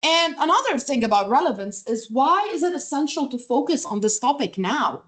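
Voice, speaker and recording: A woman's voice: sits high, sing-song, normal speed, fairly bright tone. A middle-aged woman from Lebanon giving a workshop lecture over slides on a video call, conversational, often checking in with "right?" and filler words. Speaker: visiting academic presenting a workshop.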